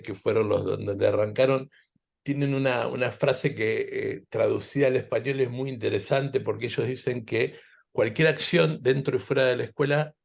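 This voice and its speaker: Creaky-voiced Argentine man hosting. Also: mid-range voice. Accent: Argentine Spanish. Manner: halting, searching for words, repeats himself. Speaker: interview host. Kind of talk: reflective conversational interview.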